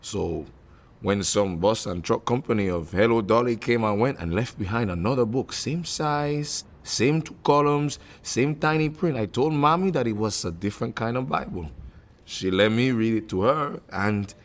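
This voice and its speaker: An actor playing a suave, gallant Cuban-born man in a radio drama, speaking gently and intimately as he teases and woos. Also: medium pitch, normal speed, natural voice. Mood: reminiscing. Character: suave Cuban-born man, successful performer, tender and teasing suitor. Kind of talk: comedic radio drama acting.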